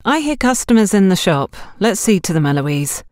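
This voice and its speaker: An earnest, anxious young salesgirl, played by a woman narrator. The voice is raised in pitch and lively, with a defensive honesty suited to an audiobook. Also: moderate pace, no echo, studio quality, close to an everyday voice.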